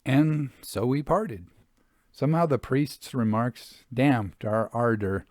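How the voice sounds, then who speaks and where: A man reading a Victorian account aloud as a storyteller, in a formal, measured literary manner. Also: medium pitch, darkish tone, dry recording, clean studio sound. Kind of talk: expressive scripted narration of a Victorian travel account.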